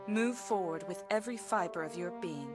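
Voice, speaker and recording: An actress playing a stern, haughty fortune teller in a video game, speaking in lofty, scolding, formal phrases. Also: female voice, fairly low pitch, a little fast, over music, close to an everyday voice. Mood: grave, urging. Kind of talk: dramatic video game cutscene acting.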